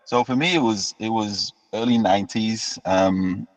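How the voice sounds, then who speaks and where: A man, telling his story as an interview guest in a relaxed way and often repeating words as he restarts. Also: lowish voice, unhurried. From United Kingdom. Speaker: guest musician. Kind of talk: casual interview chat. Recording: dull lo-fi sound with long reverb.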